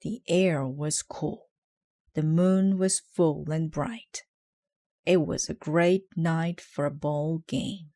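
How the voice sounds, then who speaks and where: Female children's story reader, lowish pitch, very unhurried delivery, dry sound. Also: fairly even intonation, mellow, dry recording. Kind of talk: read-aloud children's story narration.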